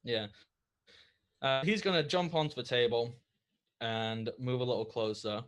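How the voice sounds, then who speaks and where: Man, game master, tabletop narration, lively delivery, mid-pitched voice. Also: unhurried.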